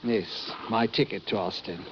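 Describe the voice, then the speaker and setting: Man as a suave, quietly threatening spy in an old radio drama, muffled recording with faint hiss. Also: lowish voice, a little slow, natural voice. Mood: menacing. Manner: suave, coolly polite and menacing. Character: smooth armed enemy agent posing as intelligence officer. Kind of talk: 1940s radio acting.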